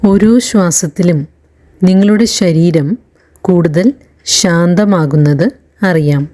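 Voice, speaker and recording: A woman leading a guided meditation in a calm, soothing narration, leaving long pauses between phrases. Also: on the low side. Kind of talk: slow guided relaxation narration.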